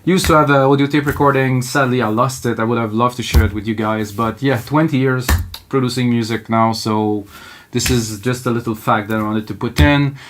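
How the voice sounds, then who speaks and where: A man's voice: medium pitch, steady tone, a little fast, a little hiss or hum. A man in his thirties with a French Canadian accent, French his first language, teaching music production live in a loose, thinking-aloud way. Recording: electronic music playing under the voice. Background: faint electronic music.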